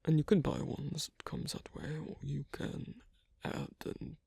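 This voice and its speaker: A performer acting as an asocial werewolf murmurs in a very breathy, husky half-whisper with a gravelly creak. The delivery is slow, halting and guarded, yet shyly affectionate, as in an intimate ASMR roleplay. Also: mid-range voice, flat delivery, no echo, full character voice. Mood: reserved, gently advising. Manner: halting, soft-spoken, hesitant. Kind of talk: ASMR roleplay, one-sided intimate conversation.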